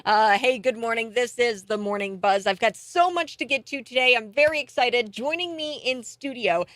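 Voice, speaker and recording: An American woman hosting a radio talk show in a studio, speaking in an excitable, emphatic way. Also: on the high side, normal speed, no room sound. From United States. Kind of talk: talk radio monologue and interview.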